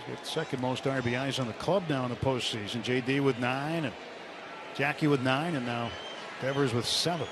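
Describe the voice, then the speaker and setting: A man giving relaxed, conversational color commentary on a radio baseball broadcast. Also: medium pitch, normal speed, noisy background.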